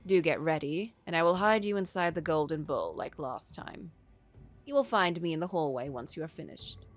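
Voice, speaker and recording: A woman voicing a sly, menacing fairy godmother in a story, with formal, measured phrasing. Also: deep voice, lively intonation, soft background music. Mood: coolly courteous.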